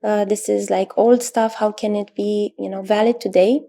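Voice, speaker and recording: Gravelly-voiced Romanian woman in her thirties. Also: medium pitch, fairly even intonation. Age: 30s. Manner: thoughtful, many pauses and fillers, soft laughs. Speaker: astrologer guest. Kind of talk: interview answers.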